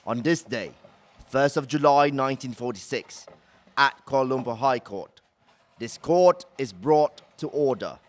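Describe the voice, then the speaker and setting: A man playing a stern British military court official, reading formally and in measured fashion in an audio drama. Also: mid-range voice, lightly acted.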